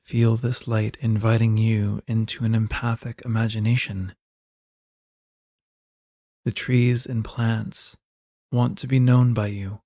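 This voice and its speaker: Slow, mellow man guiding meditation. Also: lowish voice, level tone, no echo, clean studio sound. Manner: gentle, unhurried instruction with soft phrasing. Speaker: guided meditation narrator. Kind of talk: slow soothing guided meditation, scripted.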